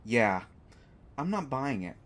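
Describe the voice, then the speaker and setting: A man's voice: mid-range voice, big pitch swings, slow, fairly bright tone. A man playing a sarcastic, unafraid hostage who teases a villain in an audio drama, with exaggerated mock reactions. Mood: mocking disbelief. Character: sarcastic, unafraid male hostage taunting a lovesick supervillain. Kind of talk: scripted roleplay audio drama monologue.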